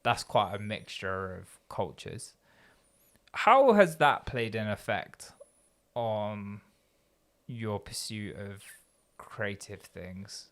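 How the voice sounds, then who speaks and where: A man hosts a conversational podcast, his voice low and gravelly. He thinks aloud as he talks and asks long, winding questions that stop and restart. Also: animated, takes it slowly, fairly bright tone. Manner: thoughtful, rambling questions with restarts. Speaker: podcast host. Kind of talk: conversational interview.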